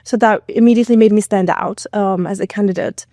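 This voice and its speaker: A woman's voice: mid-range voice, steady tone, brisk, warm tone, no echo. Chatty woman guest, dry close sound. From Mongolia. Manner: chatty, with many fillers and asides. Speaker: guest, AI content manager. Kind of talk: casual interview talk.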